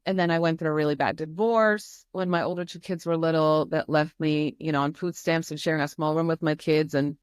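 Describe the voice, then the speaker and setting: A woman's voice: deep voice, fairly even intonation, a little fast, few pauses, clean tone, no echo. Earnest woman from Croatia, dry and close. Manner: earnest, emphatic, leans on repetition. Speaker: podcast guest. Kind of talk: candid personal storytelling.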